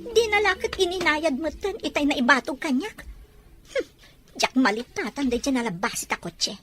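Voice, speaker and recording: A woman's voice: high voice, expressive, moderate pace, fairly bright tone, soft background music. A woman playing a young village fish seller, a dutiful and plain-spoken daughter in a radio drama. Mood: indignant.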